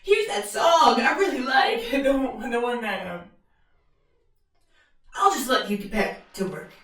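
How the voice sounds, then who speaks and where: A woman playing an awkward, chirpy pony radio announcer in an audio drama, theatrical and halting. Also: fairly high pitch, lively intonation, fairly bright tone. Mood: flustered. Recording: echoey, distant in-world announcement.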